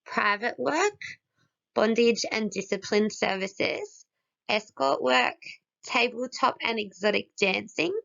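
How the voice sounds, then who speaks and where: Hoarse, gravelly woman with a cold. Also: medium pitch. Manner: steady, listing one item after another. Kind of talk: read-aloud presentation. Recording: dull lo-fi recording.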